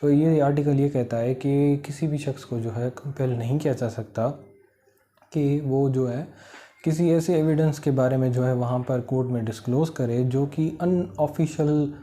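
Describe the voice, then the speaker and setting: A man with a Pakistani accent giving an informal explanatory lecture, halting and repetitive, with many filler phrases. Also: mid-range voice, steady tone, mellow. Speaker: law lecturer. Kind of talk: informal explanatory lecture, Urdu mixed with English legal terms.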